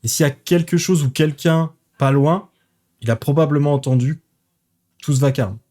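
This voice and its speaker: A man narrating a tabletop role-playing game as game master, pausing often with fillers. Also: fairly high pitch, mellow. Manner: hesitant, many fillers.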